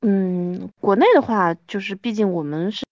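A woman in her thirties hosting a podcast, chatting casually and reminiscing in an animated, self-deprecating way. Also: mid-range voice, moderate pace, dry recording, dull audio. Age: 30s. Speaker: podcast host.

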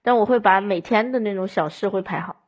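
A woman's voice: medium pitch, fairly quick, muffled recording. Woman chatting casually on a podcast. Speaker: podcast speaker.

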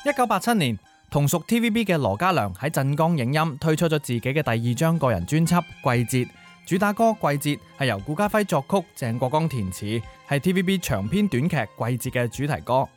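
Lively higher-pitched Cantonese male co-host. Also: a little fast, faint music behind. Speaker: radio music show co-host. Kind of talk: informative music history narration.

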